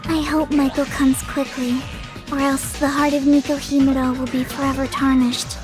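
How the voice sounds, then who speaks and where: A woman voicing a whimsical teenage schoolgirl in an audio drama, theatrical and drawn-out. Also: high-pitched, flat delivery, music in the background, natural voice. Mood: wistful.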